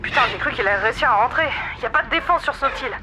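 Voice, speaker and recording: A man plays a jittery crewman with an extremely high voice. He is nervous and complaining with a sarcastic edge, in audio-drama style, over clearly noisy rumble. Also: lively intonation, very fast. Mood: rattled.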